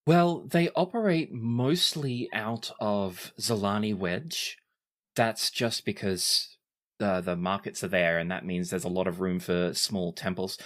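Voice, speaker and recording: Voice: low-pitched, unhurried. A game master improvising and narrating in a tabletop role-playing game.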